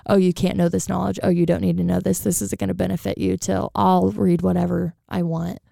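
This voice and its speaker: A woman with a raspy voice, chatting casually as a podcast co-host and rambling with lots of fillers. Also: on the low side, little pitch movement, fairly quick, warm tone, no room sound, studio quality. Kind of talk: casual chat.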